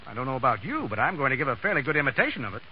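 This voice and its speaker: A man's voice: medium pitch, big pitch swings, very fast, close to an everyday voice. An actor playing a brash, bossy, wisecracking man with clipped slang in a 1930s American radio drama. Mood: dry, unruffled. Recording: dull, noisy 1930s radio recording.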